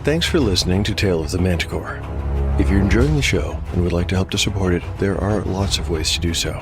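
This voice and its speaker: A man's voice: low voice, fast talker. A man hosting and narrating a fantasy game audio drama in a scripted storytelling style. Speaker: podcast host, game master and narrator. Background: music bed.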